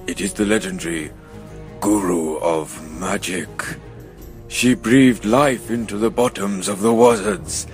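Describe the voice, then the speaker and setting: A man's voice: on the low side, animated, creaky, darkish tone, soft background music. Man playing a portentous wizard with swooping delivery. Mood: solemn, reverent. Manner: portentous, dramatic pauses. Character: theatrical wizard guide, solemn, absurdly earnest. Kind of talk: comic radio play acting.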